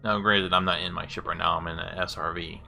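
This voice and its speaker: A man's voice: low voice, flat delivery, quick pace, creaky, pushed and bright, soft background music. A man giving a casual gameplay demonstration, speaking voice commands and commenting on them.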